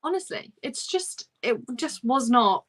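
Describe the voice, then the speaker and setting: Woman doing a chatty book livestream, really bright voice, informal and loose. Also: fairly high pitch, very animated, measured pace, slight background noise. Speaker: host of a book discussion live show. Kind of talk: casual book chat on a livestream.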